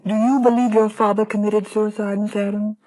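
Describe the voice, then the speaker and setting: An actress playing a poised, inquisitive sleuth's companion with crisp, questioning 1930s radio delivery. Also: female voice, medium pitch, level tone, fairly quick, dark tone, natural voice, low-quality recording. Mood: polite probing. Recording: vintage 1930s radio broadcast.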